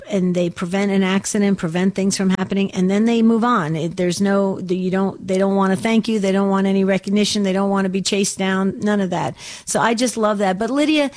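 A woman hosting a faith-based call-in show speaks at an even middle pitch into a studio microphone. She encourages her callers warmly and often restarts her sentences mid-thought. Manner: warm, encouraging, quick asides with many restarts. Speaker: radio call-in host.

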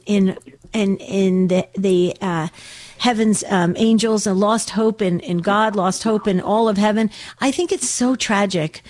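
Woman radio host, studio mic, encouraging call-in chat, quick restarts, mid-pitched voice. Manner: warm, encouraging, quick asides with many restarts.

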